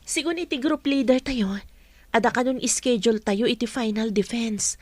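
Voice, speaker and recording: A woman's voice: on the high side, moderate pace, darkish tone, no echo, close to an everyday voice. A woman playing an earnest young college student in a radio drama. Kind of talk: Ilocano radio drama acting.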